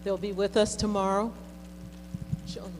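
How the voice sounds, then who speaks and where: A middle-aged woman hosting, addressing an audience reflectively in short, deliberate phrases. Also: mid-range voice, level tone. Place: large reverberant hall at a conference. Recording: noisy reverberant hall with hum.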